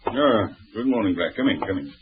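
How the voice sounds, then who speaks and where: An actor playing a brisk senior police chief inspector, gruff and husky, with dry understated authority, in 1940s radio drama style. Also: male voice, on the low side, lively intonation, very noisy, natural voice. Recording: thin, phone-like old radio recording.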